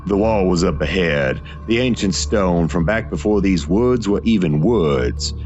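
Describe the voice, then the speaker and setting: A man with a low, deep voice narrates a gothic horror tale. His delivery is dramatic and deliberate, with weighted pauses between phrases. Faint music plays behind him. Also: lively intonation, darkish tone, dull audio. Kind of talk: scripted gothic horror audio fiction narration.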